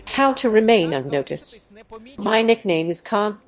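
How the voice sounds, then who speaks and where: A synthetic woman's voice reading a machine-translated voice-over dub with stiff, even phrasing and odd word choices. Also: medium pitch, unhurried. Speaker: AI dub voice for every speaker.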